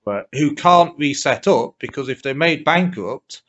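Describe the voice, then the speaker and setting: A man's voice: lively intonation, measured pace, a bit bright. A man asking questions as the interviewer in a phone interview. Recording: muffled, low-fidelity interview recording.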